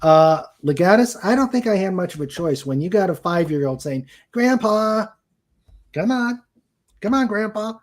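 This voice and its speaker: An older man hosting a casual livestream, greeting viewers in a halting way and often trailing off mid-sentence. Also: sits high, expressive. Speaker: livestream show host.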